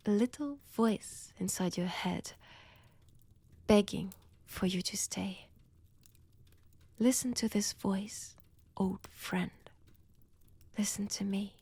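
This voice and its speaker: A breathy female vampire with a French accent, played by a woman, speaks in unhurried, deliberate phrases. She is seductive and teasing with quiet menace, often slipping toward a whisper. The audio roleplay sounds intimate, close and very dry. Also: medium pitch, dry recording. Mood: seductive, commanding. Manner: slow, teasing, deliberate delivery. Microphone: close, dry microphone.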